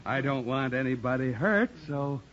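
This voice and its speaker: An actor playing a gruff, exasperated construction foreman in a commanding, blustering 1940s radio drama style. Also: medium pitch, animated, moderate pace, a bit bright, lightly acted. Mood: stern. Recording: muffled, hissy 1940s radio recording.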